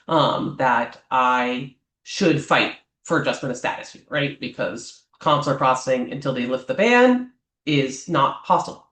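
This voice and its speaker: A man's voice: fairly high pitch, a bit bright. Talkative male lawyer in an echoey room. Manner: talks off the cuff, ends many points with a questioning "right?"; frequent "um" fillers and restarts. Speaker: immigration lawyer. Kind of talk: explanatory advice talk to clients. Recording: roomy echo.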